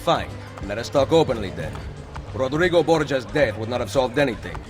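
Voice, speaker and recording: An actor playing a determined, defiant Italian assassin in a game cutscene, speaking tersely and formally with an Italian accent. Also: male voice, medium pitch, animated, a little fast, close to an everyday voice. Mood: resolute. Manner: firm, terse, formal phrasing. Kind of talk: video game cutscene acting. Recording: game music behind the voice. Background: music.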